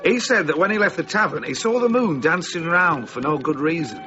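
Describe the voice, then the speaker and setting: An actor playing a chatty village gossip drinking in a tavern, in a radio play. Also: male voice, fairly high pitch, animated, fairly quick, over music, close to an everyday voice. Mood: jovial. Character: tavern villager man, gossiping over vodka. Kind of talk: radio drama acting. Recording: dull recording with crowd chatter.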